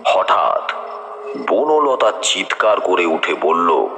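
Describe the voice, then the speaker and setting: A man narrating a horror audio story in the first person, with dramatic, suspenseful and deliberate delivery. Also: sits low, very animated, fairly bright tone, a little hiss or hum.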